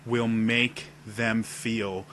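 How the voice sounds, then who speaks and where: Man, deep voice, casual tutorial to camera, forceful bright tone, demonstrates as he talks. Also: flat delivery, drawn-out words, slight background noise. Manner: explains while demonstrating gestures on camera. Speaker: role-playing tips video host. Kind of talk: casual instructional talk to camera. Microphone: webcam setup at desk.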